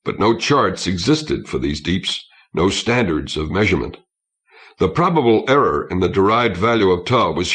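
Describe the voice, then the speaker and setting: Low-voiced man narrating with lively intonation. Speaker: audiobook narrator. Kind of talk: scripted narration of a science fiction story. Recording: old cassette audiobook transfer.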